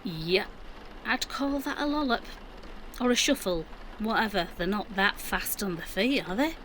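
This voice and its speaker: A woman's voice: mid-range voice, lively intonation, a little slow, background noise, natural voice. A woman playing a plucky, wry, middle-aged British mum in an audio drama, with a colloquial, dry-humoured delivery. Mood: resolute. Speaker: actor.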